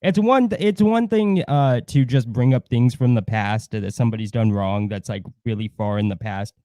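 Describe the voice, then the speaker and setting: A man's voice: medium pitch, moderate pace, dark tone, dry recording, studio quality. A man hosting a livestream with casual, opinionated chat, blunt and given to swearing. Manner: blunt, profane. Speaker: livestream host.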